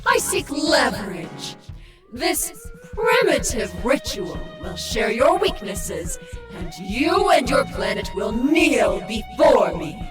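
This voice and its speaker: An imperious female alien monster for a game, cold and menacing. The delivery is slow and declamatory, swinging widely in pitch, in an echoey space with music behind. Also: huge pitch swings, recorded from a distance, heavily acted. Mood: haughty, commanding. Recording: echoey voice over clear music.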